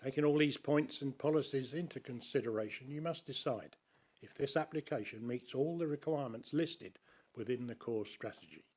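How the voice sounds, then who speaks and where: A man reads a prepared objection to a committee in a council chamber, measured and formal. He slips in a few dry asides. His pitch sits in an ordinary middle range. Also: darkish tone. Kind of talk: reading a prepared statement to a committee.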